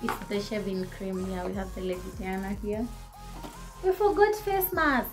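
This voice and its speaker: A young woman with a Kenyan accent talks easily through a haul of household shopping, seated in her living room and facing the camera. Her voice sits at a middle pitch with a conversational lilt. Soft music plays faintly underneath. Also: normal speed. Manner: chatty, code-switching, shows items to camera. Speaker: vlogger. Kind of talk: casual shopping haul chat.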